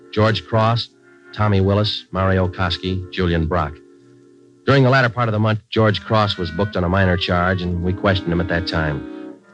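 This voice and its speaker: Stoic American police sergeant, played by a male actor, very low voice, clipped and deadpan narration, muffled vintage radio sound. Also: lightly acted. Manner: deadpan, terse, matter-of-fact. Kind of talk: 1940s radio crime drama acting and narration. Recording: muffled old 1940s radio recording.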